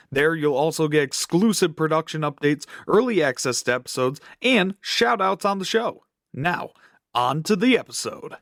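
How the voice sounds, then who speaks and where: Guy announcing podcast credits, slightly high voice, very animated, dry close sound. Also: no room sound. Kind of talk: scripted sponsor announcement.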